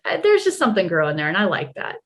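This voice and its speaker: A woman's voice: on the low side, huge pitch swings, fast. A woman interview guest talking casually and reflectively, with thoughtful emphasis on key words.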